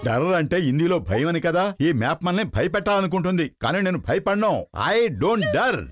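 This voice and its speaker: High-voiced, brash cartoon hero. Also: male voice, animated, a little fast, no room sound, clean studio sound. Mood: bold, excited. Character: impulsive, boastful, excitable treasure-hungry cartoon man. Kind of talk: Telugu cartoon dub. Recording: loud cartoon music behind.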